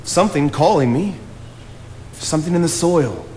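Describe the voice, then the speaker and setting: A man's voice: on the high side, expressive, moderate pace, darkish tone, a little hiss or hum, lightly acted. An actor in his thirties playing an earnest, solitary young naturalist on a theatre stage, speaking in a philosophical, declamatory way. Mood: impassioned. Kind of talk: stage play acting. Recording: hall reverb of a theatre stage.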